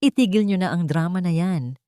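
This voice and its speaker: A woman's voice: on the low side, animated, brisk, warm tone, no room sound, close to an everyday voice. A cold, suspicious husband from an audio love drama, read by a woman narrator. He is curt and controlling, in a dry, close recording.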